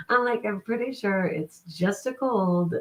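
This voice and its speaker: A woman's voice: medium pitch, slow, fairly bright tone. A congested, middle-aged woman with a cold, chatting casually and upbeat on a live stream, laughing between remarks. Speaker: vlogger.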